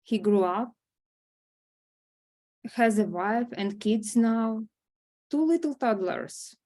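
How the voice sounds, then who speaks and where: A woman at a script table read playing a gentle, loving grandmother who reassures her granddaughter. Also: a little high, animated, takes it slowly.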